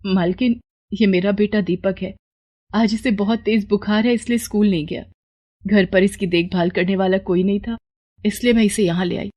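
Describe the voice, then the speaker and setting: A woman plays a poor mother in a moral story, caring but worried. She speaks in an earnest, homely way, moving between pleading and gentle scolding, at a middling pitch. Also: fairly even intonation, moderate pace, natural voice. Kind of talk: moral story character voicing.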